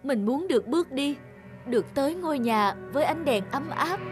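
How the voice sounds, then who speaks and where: Gentle cartoon snowman, soft, reflective, lonely, fairly high voice, music behind. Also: animated, normal speed. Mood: tender yearning. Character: short-lived snowman, gentle, lonely, yearning for warmth. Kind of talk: animated fairy-tale monologue.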